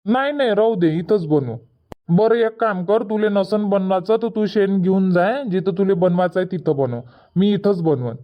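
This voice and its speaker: A man's voice: high voice, normal speed, natural voice. A man voicing an impatient, stubborn village boy in a cartoon comedy, whiny and argumentative, with a Varhadi Marathi accent. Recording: dull, muffled lo-fi audio.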